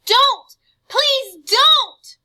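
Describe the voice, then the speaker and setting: A woman voicing a frightened chubby boy who sobs and begs for his life in a shrill, wailing voice. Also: expressive, moderate pace, sharp tone, heavily acted. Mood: panicked pleading. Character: terrified sobbing chubby boy.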